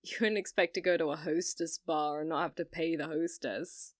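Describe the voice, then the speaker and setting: A woman's voice: low voice, fairly even intonation, dry recording, clean studio sound. A woman playing a flirty, possessive yandere rental date in an ASMR roleplay, teasing and coy, coaxing the listener. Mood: playful, coaxing. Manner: teasing, coy, slow and deliberate, drops to whispers.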